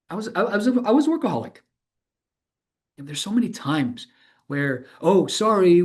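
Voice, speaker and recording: A man performing a dramatized monologue as an earnest, reflective middle-aged former tech entrepreneur, halting and searching as he speaks. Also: fairly high pitch, a little mellow, natural voice. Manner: halting, searching, self-correcting delivery with emphatic swells. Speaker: stage performer of a true-story monologue. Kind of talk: dramatized monologue in conversational interview manner.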